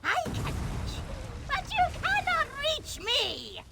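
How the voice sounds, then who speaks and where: A woman playing a gloating, vicious old hagraven witch in a video game, taunting with theatrical menace and a creaky, cackling voice. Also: very high-pitched, huge pitch swings, very noisy, heavily acted. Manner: taunting, theatrical, drawn-out threats. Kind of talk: video game villain acting.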